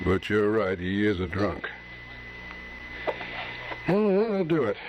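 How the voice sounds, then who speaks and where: An actor playing a gruff, exasperated frontier eatery owner short on money, in a 1950s radio drama. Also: male voice, on the low side, sing-song, slow pace, lo-fi sound, noisy background. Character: grumbling, hard-up frontier eatery owner, middle-aged man. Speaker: restaurant owner.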